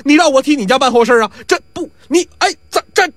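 A man's voice: fairly high pitch, big pitch swings, brisk, fairly bright tone, no room sound. A man voicing a greedy, flustered fat shopkeeper in a comic story. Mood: indignant. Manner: wheedling, then panicky.

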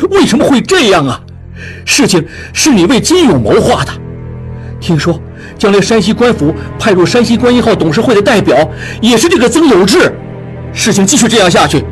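A man voicing an anxious, agitated younger brother in a dramatized audiobook, exclaiming in exasperation. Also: high voice. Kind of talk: dramatized audiobook character acting.

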